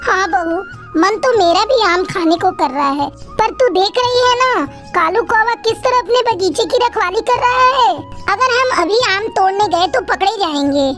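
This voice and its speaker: A woman's voice: very high-pitched, lively intonation, a little slow, a bit bright, faint music behind, full character voice. A woman voicing a scheming, bossy mother-in-law sparrow in a children's cartoon, speaking with familiar authority. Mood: cautious, scheming. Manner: advising, addresses her daughter-in-law familiarly. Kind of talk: Hindi children's cartoon acting.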